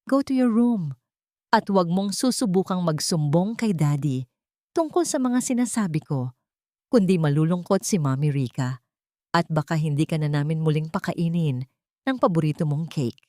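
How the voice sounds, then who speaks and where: A woman's voice: deep voice, lively intonation, moderate pace, a little mellow, no room sound, clean studio sound. A woman voicing a scheming young stepmother who speaks sweetly but with threat underneath. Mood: menacing sweet.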